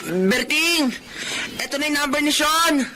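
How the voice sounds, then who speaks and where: A woman's voice: high-pitched, lively intonation, measured pace, background noise. A woman playing a nosy but caring, pushy best friend in a Filipino radio drama. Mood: urging, excited.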